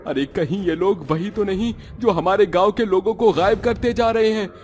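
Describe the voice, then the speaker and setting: Man acting a high-pitched, alarmed villager. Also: moderate pace, darkish tone, a little hiss or hum, natural voice. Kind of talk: Hindi cartoon acting.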